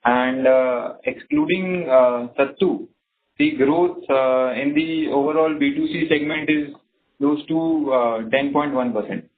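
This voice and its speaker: A man with an Indian accent answering as a company executive on an earnings call, halting and measured, pausing between short phrases. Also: medium pitch, a little hiss or hum. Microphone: conference phone call. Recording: narrow tinny phone line.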